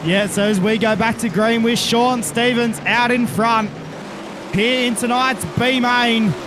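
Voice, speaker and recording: A man's voice: very high voice, steady tone, unhurried. An Australian man commentating a sim race, calling the cars and drivers in detail. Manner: calls the action with driver and car details, says mate and reckon. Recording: noisy broadcast mix with engine noise.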